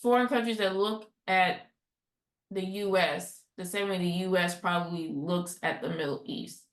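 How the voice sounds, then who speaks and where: A woman's voice: on the low side, steady tone, normal speed. Conversational woman host in echoey room. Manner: conversational, supportive, trailing sentences. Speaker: podcast host. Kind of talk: casual podcast interview.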